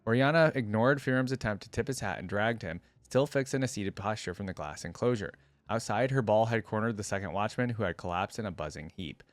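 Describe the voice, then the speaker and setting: A man with a low voice and a close, dry sound reads a story aloud. He narrates expressively, like a storyteller, and drops in casual reactions as a video creator would. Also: a little fast, dry recording.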